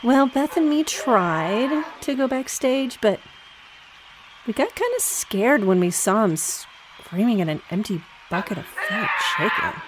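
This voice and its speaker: A woman playing a giddy, gushing young groupie in a comic audio drama, talking in teenage slang with dramatic pauses. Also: fairly high pitch, sing-song, background noise. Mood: gossipy. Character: giddy gushing young groupie girl confessing concert story.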